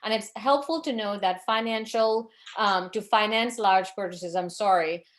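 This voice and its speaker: A woman's voice: medium pitch, moderate pace. A woman from Trinidad in her forties, presenting a financial education webinar over a video call, earnest and advising, with fillers and self-corrections.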